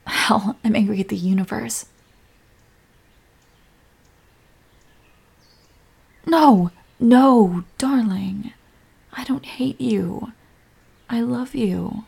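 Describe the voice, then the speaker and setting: A woman's voice: mid-range voice, measured pace, creaky, few pauses, slightly rough, full character voice. A woman acting a hurt, loving girlfriend in a romantic audio roleplay, speaking emotionally and directly to her partner. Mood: tender, soothing. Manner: emotional, swinging from sharp reproach to tender whispers. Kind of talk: scripted romantic audio roleplay, addressing the listener.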